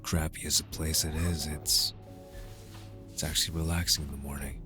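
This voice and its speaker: A man playing a tender, wistful young lover in an intimate cyberpunk ASMR roleplay, hesitant and gently pleading. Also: rumbling low, little pitch movement, normal speed, music in the background. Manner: soft-hearted, hesitant, intimate.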